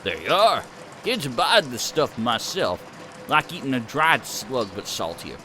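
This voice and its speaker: A man's voice: medium pitch, sing-song, a little slow, background noise, voice pushed down. A man voicing a cheerful, folksy male hobo with an easygoing country drawl.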